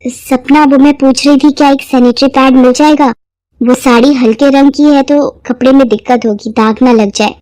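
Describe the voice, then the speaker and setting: A woman as a shy, high-voiced sister-in-law. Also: steady tone, moderate pace, darkish tone, no room sound, close to an everyday voice, low-quality recording. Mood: hesitant. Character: shy, resigned elder sister-in-law, young married woman. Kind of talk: Hindi moral-story dramatization.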